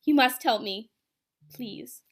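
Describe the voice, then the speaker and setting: A woman's voice: fairly high pitch, slow, husky. A woman playing an anxious, earnest nurse in an amateur radio play. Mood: anxious, pleading. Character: anxious, pleading young female nurse under suspicion.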